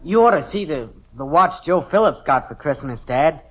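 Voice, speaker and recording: An actor playing a cheeky, wisecracking teenage son in an old-time radio comedy, boyish and eager with an American accent. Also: male voice, fairly high pitch, animated, normal speed. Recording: muffled vintage radio recording.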